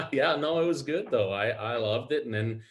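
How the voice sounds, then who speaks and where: A man's voice: mid-range voice, normal speed. A middle-aged man from a small Alberta town, a podcast guest telling his story in a rambling, self-deprecating way with lots of ums. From Alberta, Canada. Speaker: guest, club player. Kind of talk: casual storytelling interview.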